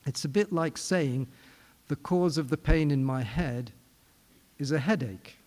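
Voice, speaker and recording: A middle-aged man from England giving a measured public lecture as a psychiatrist, posing questions and restarting phrases as he explains. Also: a little high, a little mellow, big-room sound. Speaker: psychiatrist giving a forum talk. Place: conference hall.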